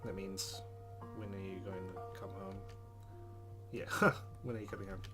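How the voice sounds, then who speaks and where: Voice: deep voice, steady tone, moderate pace, music in the background. A let's player reading a visual novel's narration aloud and adding casual asides.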